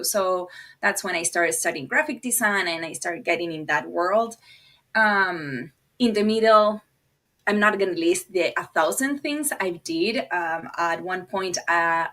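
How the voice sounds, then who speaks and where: Bright-voiced Argentinian woman telling stories. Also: fairly low pitch. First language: Spanish. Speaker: podcast guest, designer and producer. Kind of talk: casual conversational storytelling.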